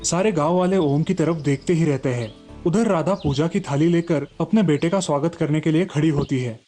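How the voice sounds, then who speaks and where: A man's voice: fairly high pitch, moderate pace, mellow, faint music behind. A man narrating a scripted children's story as the storyteller.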